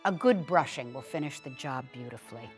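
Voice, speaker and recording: A woman actor giving an expressive dramatic reading of a humorous instructional essay, like a storyteller. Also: extremely low pitch, fairly quick, soft background music. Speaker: actor reading a written piece aloud.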